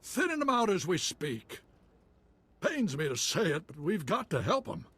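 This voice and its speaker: An actor playing a wry, folksy militia commander on a frontier world in a video game. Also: male voice, high-pitched, wildly swooping pitch, normal speed, a bit bright, husky, no room sound, exaggerated voice. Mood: wry, urgent. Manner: folksy, clipped colloquial speech.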